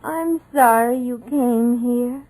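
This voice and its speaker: A woman's voice: on the high side, slow pace, mellow, rough recording. An actress playing a deluded housekeeper who waits by the sea for her lost husband, in 1940s radio drama style. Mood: wistful. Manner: dreamy, haunted, pleading. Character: deluded grieving housekeeper woman waiting by the sea.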